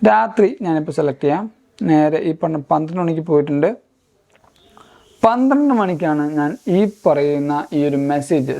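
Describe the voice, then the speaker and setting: A man's voice: sits high, darkish tone. A man presents a gadget walkthrough as a tech reviewer. He explains each feature in an instructional manner, moving through it one step at a time.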